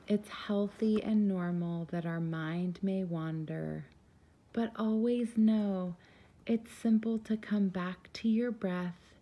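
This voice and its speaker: Soft soothing lady doing a guided meditation, really slow, bit dull muffled audio. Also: mid-range voice. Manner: soothing, gentle, unhurried.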